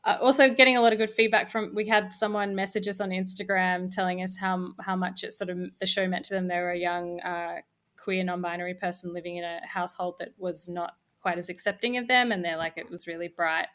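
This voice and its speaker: A woman's voice: fairly low pitch, fairly even intonation, fairly quick, fairly bright tone. A woman talking casually as a podcast guest, upbeat and informal in how she explains things. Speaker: podcast guest, audio drama co-creator. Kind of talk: casual interview chat.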